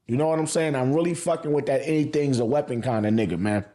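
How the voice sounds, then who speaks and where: A man's voice: medium pitch, fast talker, warm tone. A man hosting a casual anime review, talking in heavy slang and profanity, repeating phrases for emphasis. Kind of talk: casual hype review commentary.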